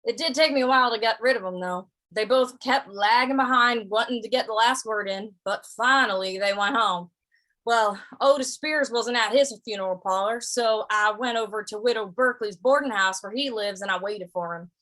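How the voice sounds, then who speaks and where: A woman's voice: a little high, normal speed, bright, forceful tone, low-quality recording. A woman acting a plain-spoken, stubborn but easygoing cowpoke in a 1950s-style Western radio drama, with folksy phrasing. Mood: wry, weary narrating.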